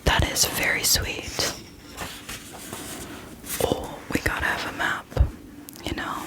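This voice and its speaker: A woman hosting a casual whispered ASMR book chat, speaking in a soft, breathy whisper with a hesitant, self-deprecating manner. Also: slow. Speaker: book video host.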